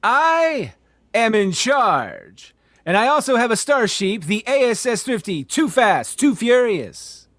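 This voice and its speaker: An actor playing a self-important, easily flustered male starship captain in a comedic radio play, with a halting delivery. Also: very high voice, sing-song, a bit bright, no echo, clean studio sound, exaggerated voice. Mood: pompous. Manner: halting, stammering when awkward. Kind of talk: comedic radio theater acting.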